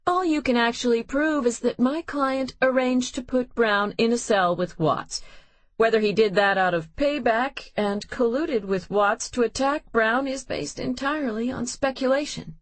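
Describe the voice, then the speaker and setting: A man's voice: very high voice, moderate pace, fairly bright tone, no echo, voice pushed up. A woman voicing a brusque, cantankerous male defense lawyer with a dismissive manner.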